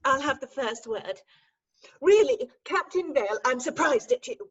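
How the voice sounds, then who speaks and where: A woman's voice: sits high, big pitch swings, moderate pace. A woman playing a self-possessed, indignant young lady in a staged reading of a Victorian farce, haughty and theatrical. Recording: dull remote call audio.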